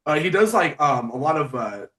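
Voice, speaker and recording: Halting, eager male podcast interviewer. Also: on the high side, sing-song, fairly bright tone. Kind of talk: casual chat.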